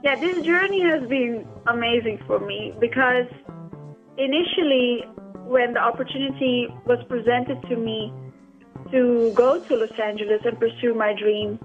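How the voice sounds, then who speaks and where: A woman from the Netherlands speaks as a guest in a radio interview, with a fairly high voice. She talks hesitantly and fills her pauses with "um" and "yeah." The audio sounds dull, with faint music underneath. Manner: hesitant, many ums and yeahs. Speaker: guest filmmaker.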